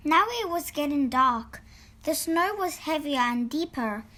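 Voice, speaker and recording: A woman narrating a children's storybook in an expressive, deliberate read-aloud style. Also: high voice. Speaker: storybook reader.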